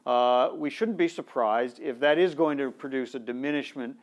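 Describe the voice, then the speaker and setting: A man speaking on a conference panel as a former ambassador, measured and diplomatic, with many fillers. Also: mid-range voice. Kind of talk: panel discussion. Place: conference room.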